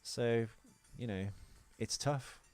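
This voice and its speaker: A man's voice: mid-range voice, takes it slowly, heavy background noise. A man co-hosting a podcast, chatting casually about film trivia in a bantering, teasing way. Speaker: podcast co-host.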